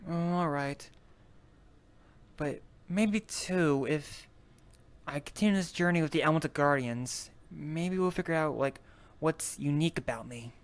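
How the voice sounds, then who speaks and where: A man playing an easygoing, unsure adventurer in an improvised online roleplay, talking casually and haltingly. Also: on the high side, clear, resonant tone. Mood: pensive. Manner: hesitant, halting, searching for words. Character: easygoing, unsure young adventurer with an elemental bracelet. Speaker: roleplay player. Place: virtual reality voice chat.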